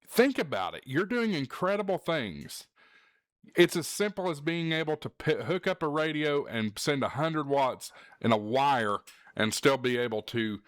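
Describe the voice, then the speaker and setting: Man, medium pitch, show host, casual direct talk, encouraging, stresses key words, dry close recording. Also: fairly bright tone, no echo. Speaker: host of a ham radio show.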